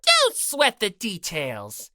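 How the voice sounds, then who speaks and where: A woman's voice: medium pitch, huge pitch swings, takes it slowly, pushed and bright, no echo, studio quality, pitched below the performer's own voice, heavily acted. A woman voicing a gleefully cruel, bossy male robot bear mascot, taunting and theatrical, for an audiobook. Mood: scornful, bossy. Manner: theatrical, taunting, mock-polite then bellowing. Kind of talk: audiobook character voice.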